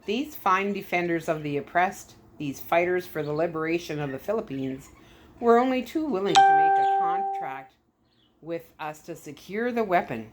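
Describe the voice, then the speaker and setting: A smug Soviet KGB skipper , as a woman reader puts him on, the talkative male villain of a storybook adventure. He gloats in a deliberate, theatrical way, pleased with himself and keen to explain. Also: on the low side, expressive, background noise, lightly acted. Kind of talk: storybook read-aloud villain.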